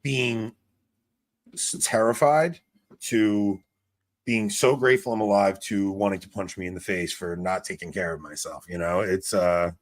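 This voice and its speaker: A man in his forties with a smoky, gravelly voice, co-hosting a casual chat and telling stories with lots of laughs and restarts. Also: deep voice, a little slow. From New York. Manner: animated storyteller, laughs often, trails off and restarts. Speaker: podcast co-host.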